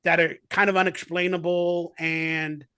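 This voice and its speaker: A man's voice: a little high, flat delivery, unhurried, pushed and bright, clean tone, no room sound. A man in his forties, a guest actor on a video call, talking in a rambling, enthusiastic way full of fillers. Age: 40s. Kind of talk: casual remote interview.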